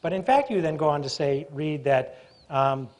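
A middle-aged man with a voice that sits a little high introduces and questions a guest on stage. He talks in a chatty, wry way, restarting sentences as he goes, picked up on a lapel mic in a university auditorium. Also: lively intonation, normal speed, darkish tone. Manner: chatty, self-interrupting, wry. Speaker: moderator. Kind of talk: moderated stage conversation. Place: university auditorium with live audience.

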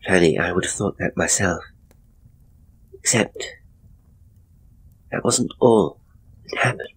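A man voicing an ailing, frightened married woman who speaks in a halting, hushed way in a horror story. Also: low voice, husky, exaggerated voice, rough recording. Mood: hushed, uneasy.

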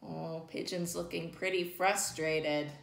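A woman in her thirties leading an interactive read-aloud, putting questions to young children in an animated way. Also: deep voice, slow pace, vocal fry. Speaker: storytime reader for children. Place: indoors, small plain-walled room. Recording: echoey room.

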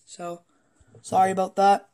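A woman who is a little sick and hoarse, rambling casually and hesitantly through a toy collection review with some swearing, recorded on a handheld phone at a table indoors. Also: mid-range voice, level tone, unhurried, noisy background. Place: indoors at a wooden table in a home room.

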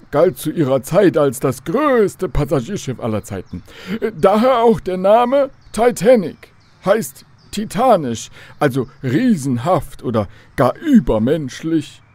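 An actor playing a courteous, old-fashioned, learned professor in a children's radio drama, formal and pedantic. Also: male voice, fairly high pitch, very animated, measured pace, a little mellow, no echo. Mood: lecturing.

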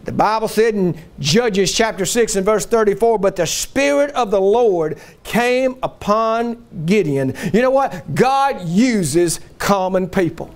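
A middle-aged man preaches in a very high, strained-up register. He delivers a fervent revivalist sermon in a folksy, exhorting way, pushing hard on key words and rising into shouts. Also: expressive, unhurried, few pauses.

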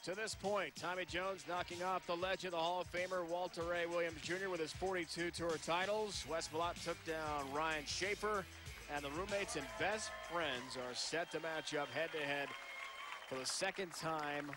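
Male bowling announcer, brisk upbeat delivery, higher voice, broadcast mix with crowd noise. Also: fairly even intonation, over music. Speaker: play-by-play announcer. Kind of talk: televised bowling play-by-play.

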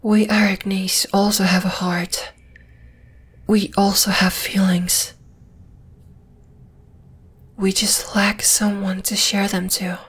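A woman voicing a possessive, gently dominating spider monster girl in an ASMR roleplay, in a slow, deliberate, breathy whisper. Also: mid-range voice, level tone. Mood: tender, coaxing. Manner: slow, deliberate, soothing yet menacing.

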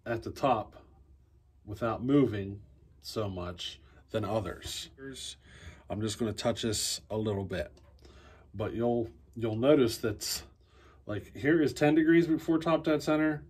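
Guy in his 40s, husky lowish voice, relaxed shop teacher vibe, close phone mic in a basement workshop. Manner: easygoing, thinking aloud with fillers and restarts. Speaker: chainsaw repair teacher. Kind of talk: casual workshop how-to.

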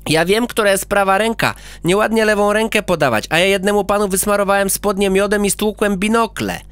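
A man voicing a cheeky, boastful little boy who prattles eagerly and mischievously in a high childlike voice. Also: steady tone, a little fast, fairly bright tone, smooth, no room sound. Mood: prattling.

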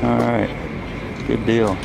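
A man's voice: medium pitch, little pitch movement, takes it slowly, vocal fry. A man interviewing casually from behind a camera outdoors, asking short questions as a radio hobbyist. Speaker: interviewer, amateur radio video maker. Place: outdoors in a paved lot at an event. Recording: noisy outdoor urban ambience.

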